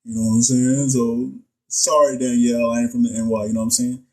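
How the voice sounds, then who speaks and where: A man's voice: mid-range voice, little pitch movement, brisk, darkish tone, low-quality recording. A man from Texas, a nurse educator hosting a casual live stream, joking in slang while he reads viewer comments and reviews quiz answers.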